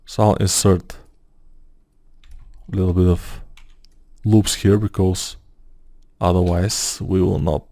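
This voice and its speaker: A man's voice: low-pitched, little pitch movement, slow pace, creaky, long pauses, mellow, no room sound. A man with a Bulgarian accent, a non-native English speaker, talking through a 3D modeling tutorial as he works, thinking aloud with hesitant fillers. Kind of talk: screen-recorded software tutorial.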